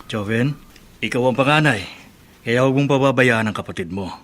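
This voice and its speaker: An actor playing a gentle, responsible father who speaks tenderly and instructively in a radio soap drama. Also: mid-range voice, fairly quick, close to an everyday voice. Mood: tender, earnest. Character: gentle, responsible father counselling his children.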